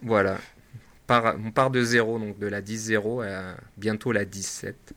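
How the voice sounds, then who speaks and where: A man with a French accent talks over a tech podcast in an easy, rambling way. He fills his pauses and restarts his phrases often. His voice sits a bit low but has a bright, forceful edge. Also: steady tone. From France. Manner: chatty, many fillers and restarts. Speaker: podcast co-host. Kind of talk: casual tech chat.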